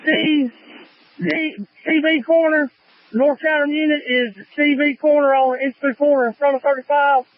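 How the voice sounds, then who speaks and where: High-pitched man on fire radio. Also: little pitch movement, moderate pace, no room sound. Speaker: firefighter, fireground division officer. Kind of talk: emergency radio traffic. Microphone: handheld two-way radio. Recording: muffled lo-fi two-way fire radio.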